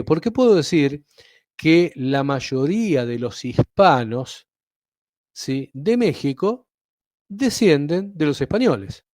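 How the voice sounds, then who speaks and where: A man's voice: medium pitch, a little mellow, no room sound, clean studio sound. A man with an Argentine accent giving a Bible teaching, explaining step by step and often checking in with listeners. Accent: Argentine Spanish. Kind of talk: teaching sermon.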